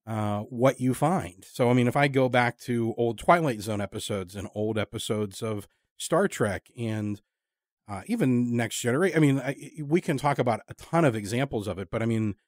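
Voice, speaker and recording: A man hosting a casual long-form interview, talking in a thoughtful, halting, discursive way. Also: mid-range voice, lively intonation, dry recording, clean studio sound. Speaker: podcast interviewer.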